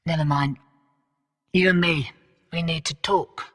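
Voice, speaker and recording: A woman's voice: deep voice, big-room sound. An actress voicing a cocky female rogue fortune hunter and arms dealer in a video game, cheeky, slangy and sardonic. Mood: teasing. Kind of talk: video game character voice lines.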